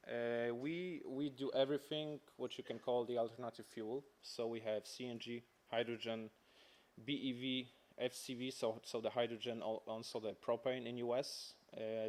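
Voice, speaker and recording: A man with a Polish accent delivers a corporate presentation at a conference. His voice is medium in pitch, and his non-native phrasing comes haltingly, with restarts along the way. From Poland. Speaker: company representative presenting.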